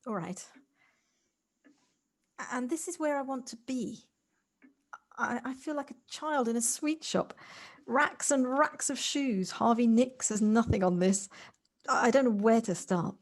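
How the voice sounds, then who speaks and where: Actress as effusive, encouraging woman, higher voice. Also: a little slow, long pauses. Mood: excited. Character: effusive, encouraging woman, theatrical and tenderly sympathetic. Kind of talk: amateur audio drama acting. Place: recorded at home on a personal device.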